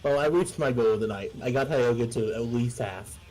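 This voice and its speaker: Casual mid-pitched man at game table. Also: a little fast, darkish tone, poor audio. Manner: informal, thinking aloud. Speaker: player in a tabletop role-playing game. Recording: faint music behind the voice.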